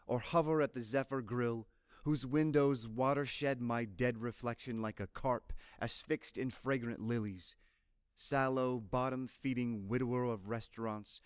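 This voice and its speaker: A man gives a measured poetry recitation at a very unhurried pace. His voice is mid-pitched and resonant, recorded very dry and close. Each phrase is placed with deliberate care. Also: clean tone, no room sound. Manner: measured, deliberate, literary delivery. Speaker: poetry reader.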